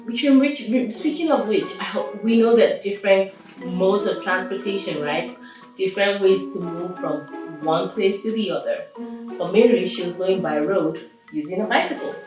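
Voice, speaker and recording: Lady doing kids story time, slow n expressive, chats to the kids, super echoey and kinda far from mic. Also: medium pitch, music in the background. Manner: expressive, animated children's storyteller, asking listeners questions. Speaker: host of children's read-aloud channel. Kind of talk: storybook read-aloud with chatty asides to children. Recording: very echoey room, distant mic.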